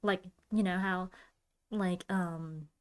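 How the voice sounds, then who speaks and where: A woman's voice: fairly low pitch, fairly even intonation, slow pace. A woman hosting her own podcast alone, talking casually and thinking aloud with a giggly, loopy manner. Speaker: podcast host and streamer. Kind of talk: casual rambling solo podcast talk.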